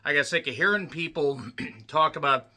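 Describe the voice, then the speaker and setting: A man with a slightly rough, worn voice delivers a film review in an unscripted monologue. He talks bluntly and forcefully, swears freely and keeps clearing his throat. His tone is sharp and bright. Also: fairly high pitch, moderate pace. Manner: blunt, profane ranting with frequent throat clearing. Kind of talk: casual spoken movie review.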